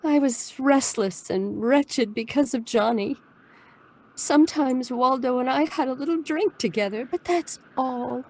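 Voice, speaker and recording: A woman's voice: high voice, a little slow, darkish tone. An actress playing a mysterious, wistful married woman in a noir radio drama, speaking in an earnest, restrained way. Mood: wistful, confessional. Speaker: actor in an audio drama.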